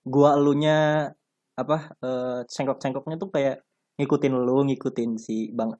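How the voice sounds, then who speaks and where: A young man from Central Java, talking casually and haltingly as a guest podcaster. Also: mid-range voice, fairly even intonation, a little mellow. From Purbalingga, Central Java. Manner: halting, hesitant, fond of "ya Allah". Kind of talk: casual chat.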